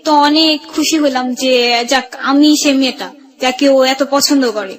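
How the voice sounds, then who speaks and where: A woman's voice: high voice, steady tone, normal speed. A woman with a Bangladeshi accent telling her own story conversationally, re-enacting remembered exchanges as dialogue.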